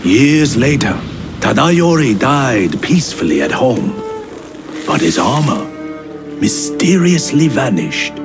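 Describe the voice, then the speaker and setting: A solemn storyteller of old legends, speaks slowly with a lively, swooping pitch. His delivery is measured and dramatic, like game narration, over prominent music. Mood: solemn, reverent. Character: solemn male storyteller recounting ancient Japanese legends. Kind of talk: scripted narration of legendary tales. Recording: music behind the voice.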